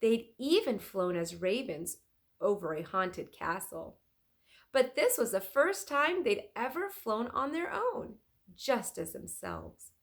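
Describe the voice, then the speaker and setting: A woman reads a children's chapter book aloud like a teacher to a class. Her delivery is unhurried and deliberate, with lively rises and falls in pitch. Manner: deliberate, clearly phrased, animated. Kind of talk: expressive children's storybook read-aloud.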